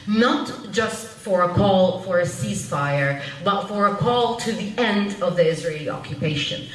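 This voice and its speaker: Woman panel speaker, mid-pitched voice, earnest and urgent, recorded from a distance. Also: a bit bright. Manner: earnest, urgent, pausing often to find words. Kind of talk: public panel talk. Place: public meeting room at a panel event. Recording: echoey room from far off, faint noise.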